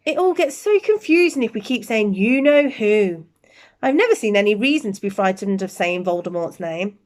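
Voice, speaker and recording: A woman voicing a calm, kindly old male wizard professor in a storybook, courteous and measured. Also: mid-range voice, lightly acted. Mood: gently insistent. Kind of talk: storybook character reading.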